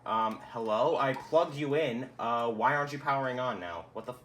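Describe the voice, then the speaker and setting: A man's voice: medium pitch, moderate pace, a bit bright, a little hiss or hum. Laid-back young man rambling casually. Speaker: radio collector showing his collection. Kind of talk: casual rambling product demo.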